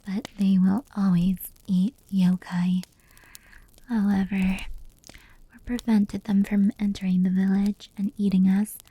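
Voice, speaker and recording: Whispered ASMR, woman acting as gentle female fox demon, very mellow, breathy, unhurried, intimate storytelling. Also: mid-range voice, dry recording, low-quality recording. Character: gentle female fox-demon yokai telling old legends.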